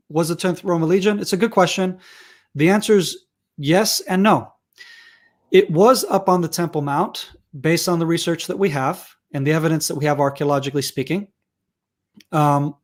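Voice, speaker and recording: Middle-aged guy from israel, hebrew's his first language, kinda high voice, emphatic, keeps restarting words. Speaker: guest expert. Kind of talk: unscripted interview answer.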